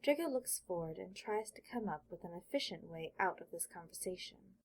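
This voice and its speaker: A woman's voice: fairly low pitch, animated, brisk. A woman reading scripted fiction as an audiobook narrator, telling the story in present tense.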